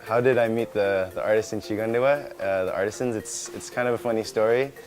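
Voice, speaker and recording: Young American man, deep voice, halting casual interview answers, faint music behind. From California. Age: young adult. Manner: halting, with many restarts, mixing in Indonesian words. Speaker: founder of a hat company.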